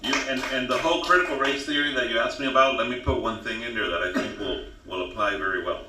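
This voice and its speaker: A man's voice: mid-range voice, fast, sharp tone, soft background music. A man speaking as a podcast guest, emphatic and preachy, often pressing "okay?" to drive his points home. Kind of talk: casual talk show chat. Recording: roomy and distant.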